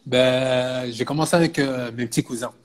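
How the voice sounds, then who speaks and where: A man's voice: mid-range voice, moderate pace. Belgian man in his thirties, chatting easily. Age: 30s. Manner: relaxed, frequent filler "tu vois" and restarts. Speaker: personal fitness coach. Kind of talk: casual interview talk.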